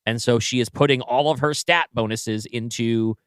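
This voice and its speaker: A man's voice: medium pitch, moderate pace, clean tone, no room sound. Man talking through ideas analytically, dry close sound. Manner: analytical, thinking aloud. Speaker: podcast co-host and writer. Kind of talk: casual story brainstorming.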